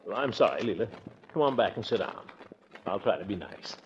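Pompous, swooping middle-aged bachelor, noisy old radio. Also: male voice, on the low side, sing-song, fairly quick. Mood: subdued. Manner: blustery, theatrical, with sudden swoops. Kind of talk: 1940s radio comedy acting. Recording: noisy, muffled 1940s radio recording.

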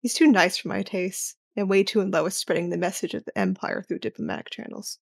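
A woman playing a cool, sardonic young operative and teasing sister in a sci-fi audio drama, with a deadpan delivery. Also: lowish voice, a little fast, gravelly, no echo, clean studio sound, heavily acted.

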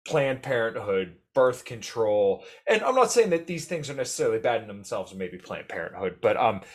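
A young man with a fairly low voice talks politics on a podcast. He is earnest and opinionated, and he often stumbles and restarts his sentences. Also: very animated, normal speed. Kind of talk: casual political podcast chat.

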